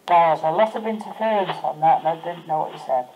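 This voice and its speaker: A woman's voice: sits low, fast talker, dull audio, background noise. An older woman giving brief, casual, playful replies through a walkie-talkie radio. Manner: playful, mischievous. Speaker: vlogger's mother helping with the test.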